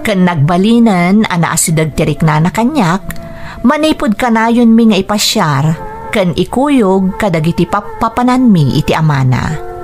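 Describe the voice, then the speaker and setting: An actress playing a gentle young woman teacher in love with a widower, in an Ilocano radio drama. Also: mid-range voice, lively intonation, moderate pace, lightly acted. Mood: fond, reflective. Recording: music bed under dialogue. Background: music.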